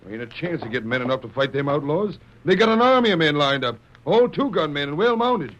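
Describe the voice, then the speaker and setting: A man's voice: a little high, sing-song, fast, fairly bright tone. A man playing a folksy, grumbling old-West sheriff in a radio western, with a rustic American Western drawl and exclaiming manner. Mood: exasperated. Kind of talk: 1930s-40s radio western acting. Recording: dull, hissy old radio broadcast.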